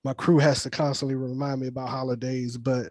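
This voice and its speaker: A man's voice: medium pitch, flat delivery, a little fast, darkish tone, no echo, clean studio sound. A man speaking as a film director in an informal team briefing on a video call, rambling and reassuring. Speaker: film director leading a table read. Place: virtual meeting on a video call.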